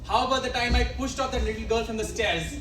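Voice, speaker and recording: A woman's voice: on the high side, quick pace, a bit bright, close to an everyday voice. Brash Indian former pupil, played by a woman, echoey. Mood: reminding, boastful. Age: young adult. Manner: insistent, slangy. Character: brash, stubborn male former pupil demanding money. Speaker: student actor in a college play. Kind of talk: amateur stage comedy acting. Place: live college stage, picked up from afar. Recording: echoey noisy hall, distant mic.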